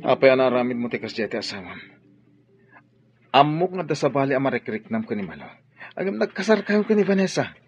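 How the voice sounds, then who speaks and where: An actor playing a concerned, admonishing godfather with a husky voice in a radio drama. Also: mid-range voice, very animated, a little fast, pauses often, faint music behind. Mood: scolding. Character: concerned, scolding godfather, older family friend. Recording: dry, muffled lo-fi radio drama.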